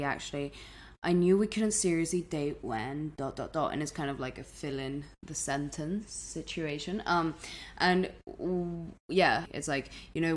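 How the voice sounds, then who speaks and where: A woman with a low-pitched voice co-hosts a casual podcast from afar. She talks in an easy, chatty way, laughs a lot and drops swear words without a second thought. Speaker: podcast co-host. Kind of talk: casual chat. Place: in Italy, remote recording.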